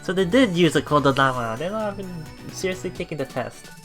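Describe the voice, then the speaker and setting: A man reading a visual novel aloud as a let's play streamer, haltingly, with repeats and self-corrections. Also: fairly high pitch, lively intonation. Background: soft game music.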